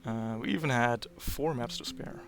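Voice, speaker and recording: Hoarse, gravelly game master man. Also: medium pitch, soft background music. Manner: upbeat, brisk rules calls. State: hoarse and tired. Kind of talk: running a tabletop game on stream.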